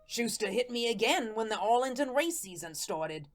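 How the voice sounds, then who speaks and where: A woman's voice: on the high side, expressive, moderate pace, soft background music, natural voice. A woman playing a naive, lovelorn male ghost who narrates his story in folksy, slangy talk, in the style of a radio play. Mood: chatty, guileless. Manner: folksy, colloquial, guileless storytelling.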